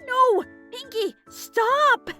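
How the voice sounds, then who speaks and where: A young cartoon pegasus mare, performed by a woman, very high and sharp in tone. She is casual and cool but easily flustered, protesting slowly and haltingly in a storybook reading. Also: soft background music, exaggerated voice.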